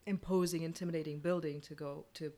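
A woman's voice: low-pitched, steady tone, fast, warm tone. A woman chatting casually as a playwright guest on a panel, explaining things informally in short phrases.

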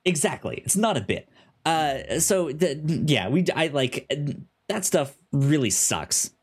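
A man hosting a podcast in casual chat, who stutters on first sounds and thinks out loud with many fillers. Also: fairly high pitch, big pitch swings, slow, slightly rough. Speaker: podcast host.

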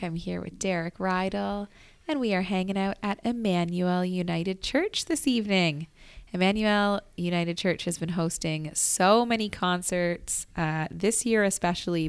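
A woman's voice: lowish voice, creaky, no room sound. A woman co-hosting a radio show, chatting casually and introducing segments and events. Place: on location at a church.

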